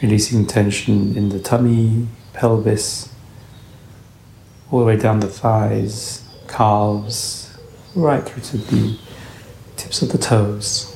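A man with a low, mellow voice guides a meditation in gentle, soothing phrases. His delivery is very slow and unhurried. The recording has a noticeable roomy echo around the voice. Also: fairly even intonation, vocal fry. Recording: roomy echo, faint background noise.

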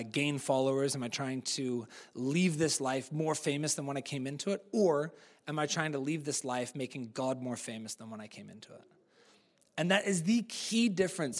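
Animated man preaching with American accent. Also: medium pitch. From New Zealand. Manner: conversational, animated preaching with fillers. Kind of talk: live sermon. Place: church service.

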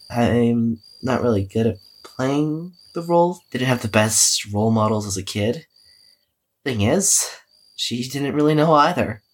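Husky, weary young man an actor plays. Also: medium pitch, natural voice, rough recording. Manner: hesitant, musing, wryly cynical. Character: weary, self-effacing young man recording an audio diary. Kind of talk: confessional audio diary in a horror drama podcast.

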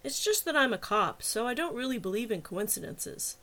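Audiobook role: persuasive, teasing policeman, high-pitched for a man read by a female narrator, reasonable and persistent. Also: very animated, normal speed, sharp tone, heavily acted. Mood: earnest. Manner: persuasive, coaxing. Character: persistent, teasing male cop, cajoling and reasonable. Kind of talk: audiobook character dialogue.

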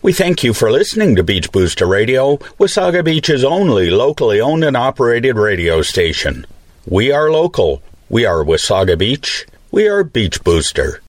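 A man's voice: mid-range voice, very animated, normal speed, dry recording. A man reading a scripted radio station announcement.

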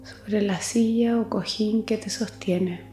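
A woman leading a guided meditation, speaking gently and soothingly in a soft voice with long pauses. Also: mid-range voice, fairly even intonation, reverberant. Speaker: psychologist guiding meditation. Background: music.

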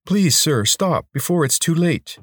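A man performs a little male lizard servant for an audiobook. The voice is pitched up and very lively, eager and fretful. It speaks with formal, humble deference to its master, recorded close and dry. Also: unhurried, no room sound. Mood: anxious warning. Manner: formal, deferential phrasing addressing a master as sir. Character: small eager loyal male lizard creature, servile and fretful. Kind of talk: audiobook character voice.

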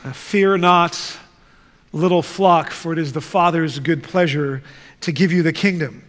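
A man who grew up in the Netherlands, preaching a sermon and reading scripture in a slow, deliberate way. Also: a little high. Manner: slow, deliberate, measured delivery. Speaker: guest preacher. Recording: reverberant church hall.

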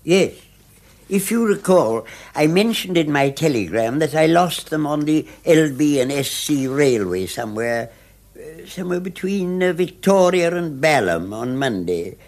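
An actor playing a fussy, nervous English gentleman in a classic radio drama, speaking with formal, stammering hesitancy. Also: a little high, sing-song, a little slow. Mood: fussy, puzzled.

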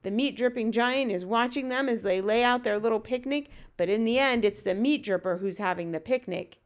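A gentle storybook giant played by a woman, with a slightly high voice. The giant explains things earnestly, in a folksy way with muddled, quaint grammar. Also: a little fast, lightly acted. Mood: earnest explaining. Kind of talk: children's storybook character voice.

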